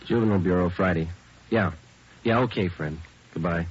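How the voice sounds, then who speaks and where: An actor playing a terse, matter-of-fact police detective sergeant in a 1940s radio drama, speaking in a clipped, flat manner. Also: male voice, low-pitched, moderate pace, natural voice. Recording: hissy muffled old radio broadcast.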